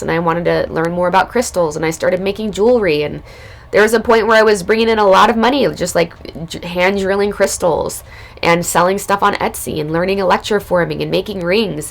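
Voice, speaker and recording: Woman, raspy, hoarse, low voice, gravelly fry, casual spiritual storytelling. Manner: casual, loose, spiritual talk with many fillers. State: hoarse from recent illness. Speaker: podcast host. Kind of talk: reflective personal storytelling.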